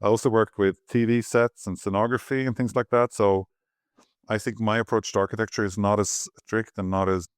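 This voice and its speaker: A man's voice: on the low side, fairly even intonation, a little fast, clear, resonant tone, dry recording, studio quality. A middle-aged man from Sweden, a guest architect rambling and thinking aloud as he talks. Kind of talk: relaxed conversational interview.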